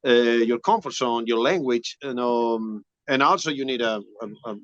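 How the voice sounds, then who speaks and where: A man from Spain with a strong Spanish accent, talking casually as an interview guest, often pausing to search for English words. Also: medium pitch, lo-fi sound. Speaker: musician guest.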